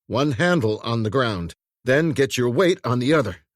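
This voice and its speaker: A man's voice: medium pitch, expressive, moderate pace, dry recording, studio quality, close to an everyday voice. A man voicing a trapped man who gives firm, clipped orders. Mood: commanding. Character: trapped man, calm and commanding under danger.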